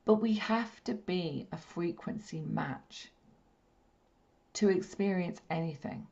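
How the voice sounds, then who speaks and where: Croaky low-voiced woman, slow. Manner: gentle, repetitive, soothing delivery. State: slightly croaky, hoarse throat. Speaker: spiritual healer leading a live session. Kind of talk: slow meditative teaching talk. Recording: dull lo-fi stream audio.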